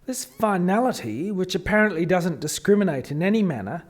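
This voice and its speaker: A man's voice: sits high, lively intonation, fairly quick. A man playing a probing alien official in a radio play, with a formal, inquiring manner. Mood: questioning. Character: probing male alien official questioning the creators.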